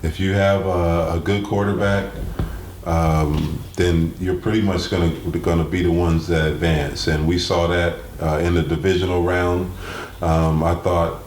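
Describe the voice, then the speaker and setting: A man hosting a sports talk show, giving game analysis in a halting, deliberate way. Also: low-pitched, level tone, a little mellow. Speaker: sports radio host. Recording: roomy echo, clear background noise.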